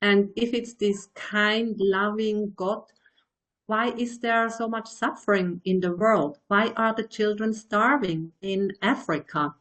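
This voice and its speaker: A woman in her sixties from Switzerland speaks in an unhurried, measured way. Her pitch sits in a middle range. She answers reflectively and stops now and then to find the right word. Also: steady tone, a bit bright. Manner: slow, thoughtful, searching for words. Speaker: guest, meditation teacher.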